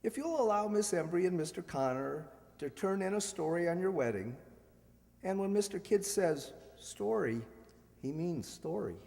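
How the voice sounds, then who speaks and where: A man's voice: high-pitched, lively intonation, normal speed, creaky, a little mellow. A man playing a suave, sardonic ex-husband in a radio comedy, dry and mocking. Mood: mocking, smug. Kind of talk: radio play acting of a 1940s screwball comedy. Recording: reverberant hall stage recording.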